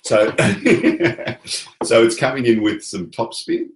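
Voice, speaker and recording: A man coaching table tennis in a casual, relaxed way, pausing often with fillers. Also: mid-range voice, echoey room. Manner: relaxed, hesitant with many fillers.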